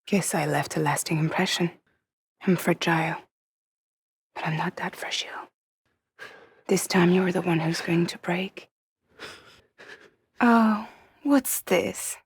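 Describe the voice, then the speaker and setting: An actress playing a guarded, wry woman courier in a post-apocalyptic video game, with soft, breathy, measured and deadpan delivery. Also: fairly low pitch, no room sound, clean studio sound, exaggerated voice. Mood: cold, vengeful. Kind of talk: cinematic video game acting.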